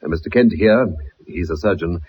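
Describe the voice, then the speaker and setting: An older colonel, proud, stern and protective of his son. The voice is low and husky, gruff and emphatic. It sounds like a dull, muffled old radio drama. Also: normal speed. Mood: pleading, defensive. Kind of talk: 1960s radio drama acting.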